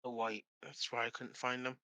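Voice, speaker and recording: A man's voice: medium pitch, level tone, moderate pace, pushed and bright, no room sound. A man co-hosting a live game stream, making short offhand remarks with dry humor. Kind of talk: casual live-stream chat.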